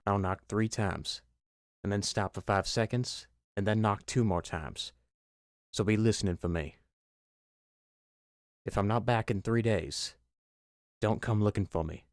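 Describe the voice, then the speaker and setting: Man acting low-voiced commanding uncle, dry sound. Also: a little slow, long pauses, no echo. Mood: stern. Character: gruff, commanding uncle leading horror survivors. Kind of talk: audio horror story acting. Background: music.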